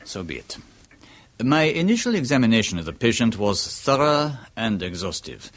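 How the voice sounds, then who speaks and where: A suave French physician with a French accent, speaking in deliberate, softly theatrical phrases. His pitch swings widely as he lingers on each point. The delivery has the polish of 1970s radio drama. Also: very animated. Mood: measured. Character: suave older male French doctor, measured and wry.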